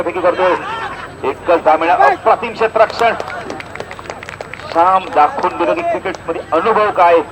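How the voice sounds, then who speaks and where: A male cricket commentator with a slightly high voice works a local tournament outdoors. He shifts between measured announcing and excited calls. A noisy crowd is clearly heard around him.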